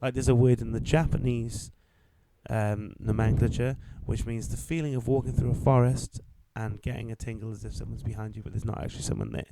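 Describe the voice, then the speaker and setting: A young man talks at a brisk, chatty clip as he steers a casual podcast chat. His voice sits at an ordinary middle pitch. A steady noise hums under the recording. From Wales. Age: 20s. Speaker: podcast co-host.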